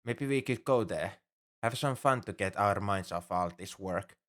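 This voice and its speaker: Casting: male actor for a worrying, devoted alien shipmate, fairly deep voice, earnest and halting, formal turns of phrase, intimate ASMR roleplay. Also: fairly bright tone, lightly acted. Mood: friendly, hopeful. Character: anxious, caring male alien crewmate on a spaceship. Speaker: ship crewmate tending a sick human.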